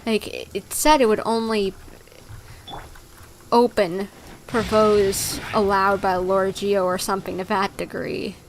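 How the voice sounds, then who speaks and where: A woman live-streaming a video game in a medium-pitched voice. She chats casually and reacts aloud as she plays. Game ambience and faint noise sit behind her. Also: little pitch movement, measured pace, low-quality recording. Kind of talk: casual live gameplay commentary.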